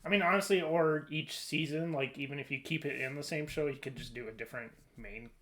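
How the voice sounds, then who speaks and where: A man's voice: a little high, a little fast, fairly bright tone, slight background noise. A man speaking casually and conversationally as a podcast co-host. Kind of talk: casual chat.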